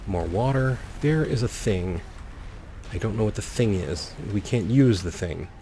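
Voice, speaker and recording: A man's voice: lowish voice, animated, a little slow, warm tone. A man giving casual, playful gameplay commentary as a let's play host, rambling with wry asides. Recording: faint background noise.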